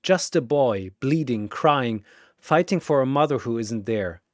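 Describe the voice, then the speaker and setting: Slow, expressive dramatic story narrator. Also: mid-range voice, no echo, studio quality.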